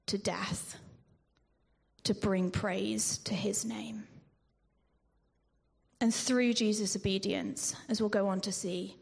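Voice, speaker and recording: A woman preaching a sermon in a measured way, with ums and restarts, sometimes dropping to a whisper on scripture. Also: medium pitch, creaky, breathy edge. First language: not Greek. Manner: slow, measured delivery with frequent ums and restarts.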